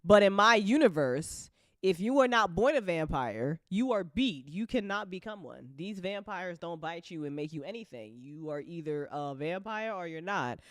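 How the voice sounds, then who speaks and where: A woman hosting a casual chat about writing, talking in an animated, playful way with plenty of swearing. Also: on the low side, moderate pace, no echo, clean studio sound. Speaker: host of a fantasy audio drama chat show, writer.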